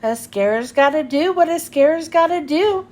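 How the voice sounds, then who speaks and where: A big, kind blue monster played by a woman reading a storybook, easily flustered and hesitant. The voice stays high and jumps around in pitch. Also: expressive, normal speed, a little mellow. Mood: resigned.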